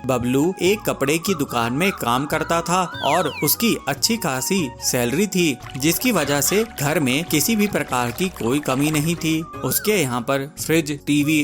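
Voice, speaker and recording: A man reading scripted narration for a children's cartoon story, with music behind him. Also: a little high.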